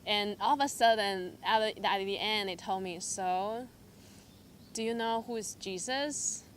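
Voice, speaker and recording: A woman's voice: mid-range voice, a little slow, a little hiss or hum. Young woman from Taiwan telling her story. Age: young adult. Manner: hesitant, filler-heavy storytelling with lively quoted asides. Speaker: church member sharing testimony. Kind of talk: personal testimony.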